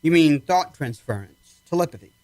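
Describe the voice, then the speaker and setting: A man's voice: fairly high pitch, expressive, normal speed, darkish tone, no echo, natural voice, low-quality recording. A man acting in an old-time radio drama as a probing, authoritative army psychiatrist with a clipped, commanding manner. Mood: probing, skeptical.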